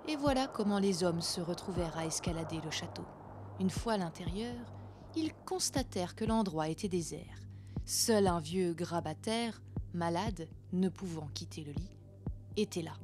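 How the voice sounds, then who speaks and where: A woman's voice: lowish voice, animated, moderate pace. Woman narrating folk legends over music. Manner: theatrical, literary storyteller delivery. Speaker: host and storyteller.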